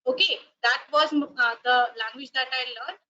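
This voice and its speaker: A woman's voice: high-pitched, animated, normal speed, sharp tone. A woman with an Indian English accent, a native Rajasthani speaker, giving a webinar talk with frequent hesitations. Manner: many hesitations, polite and explanatory. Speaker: webinar speaker, language teacher. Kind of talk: lecturing in a webinar. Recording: dull lo-fi online call audio.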